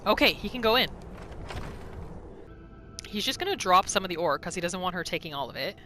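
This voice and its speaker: A woman gives casual roleplay commentary on a video game. Her pitch moves around in a lively way as she chats and muses, with music clearly behind her. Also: a little fast. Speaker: gaming video host.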